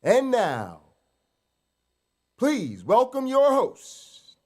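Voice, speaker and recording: A man announcing the opening of a late-night show and introducing its host in a drawn-out, dramatic way. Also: extremely high pitch, wildly swooping pitch, darkish tone.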